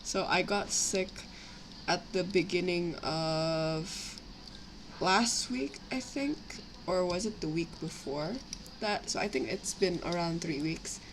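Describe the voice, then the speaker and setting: A young woman with a slightly raspy voice from a cough, rambling casually as she chats on a live stream while building models. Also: fairly low pitch, animated, takes it slowly. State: sick with a cough, itchy throat. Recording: clear background noise, home livestream.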